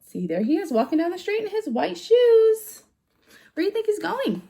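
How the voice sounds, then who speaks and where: A woman reading a picture book aloud to young children in an animated way, putting questions to her listeners. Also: extremely high pitch, normal speed, creaky. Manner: animated, with gasps and exclamations. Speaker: storybook reader for young children.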